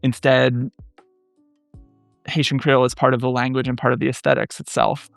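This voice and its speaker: A man in his thirties talks through academic ideas in a podcast interview, pausing often to find his words. His voice has a gravelly fry and stays flat and even. The sound is dry and close, with faint music in the background. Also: mid-range voice, no room sound. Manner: thoughtful, many "um" pauses, measured. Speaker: podcast guest, French studies scholar.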